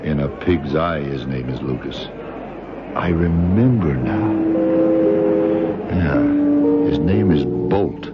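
An actor playing a tough, suspicious American federal investigator in a radio drama, with dry wisecracking delivery. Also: male voice, very deep voice, slow, long pauses. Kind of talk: 1960s-70s radio drama acting. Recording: dull vintage radio recording, music behind.

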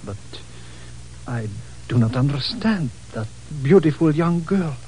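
A man playing a courteous, sombre foreign colonel who speaks in formal, deliberate phrases in a 1950s radio drama. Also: a little high, expressive, mellow. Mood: sorrowful. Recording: noisy, clicking vintage radio recording.

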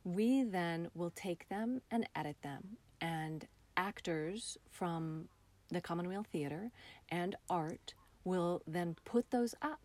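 A woman with a slightly low voice talks as a guest on an interview. She is a theatre artist explaining things in an easy, conversational style. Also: slow pace, darkish tone.

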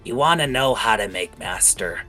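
Scheming male kobold, acted by a game master, forceful and coaxing, falsely friendly, for a fantasy tabletop game. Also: medium pitch, lively intonation, moderate pace, soft background music, pitched above the performer's own voice. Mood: sly, coaxing.

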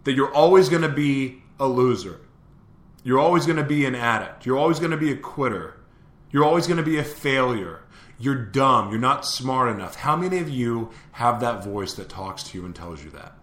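A man's voice: mid-range voice, expressive, moderate pace, a bit bright, roomy sound. A man hosting a live motivational show, preaching to his listeners and asking them the same question again and again. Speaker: show host.

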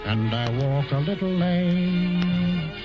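An actor playing an aging, pompous male governor in a 1940s radio musical, singing slowly and then barking orders. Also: high-pitched, expressive, music in the background. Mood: wistful crooning. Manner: slow crooning singing, then blustering commands. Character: aging pompous male colonial governor courting a young maiden. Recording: dull vintage radio broadcast with loud orchestra.

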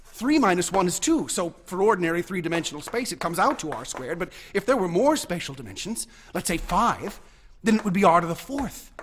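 An actor playing an obsessive young mathematics student in a radio drama, eagerly lecturing and insistent. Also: male voice, high voice, big pitch swings, fairly quick, a bit bright, slight background noise. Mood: insistent, excited. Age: young adult.

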